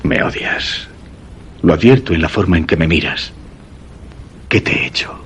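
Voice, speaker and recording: An actor with a Castilian Spanish accent playing a weak, fearful husband who narrates a suspense radio drama in a measured, solemn way. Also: male voice, low voice, fairly bright tone, slight background noise. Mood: weak, distressed. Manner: measured, literary, solemn storytelling. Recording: voice over background music.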